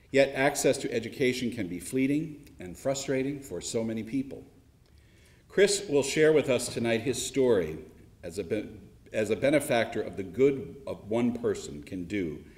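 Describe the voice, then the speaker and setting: A man's voice: on the low side, darkish tone. A man giving formal welcoming remarks at a university lecture, earnest, with small slips and restarts. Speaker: university official opening the event.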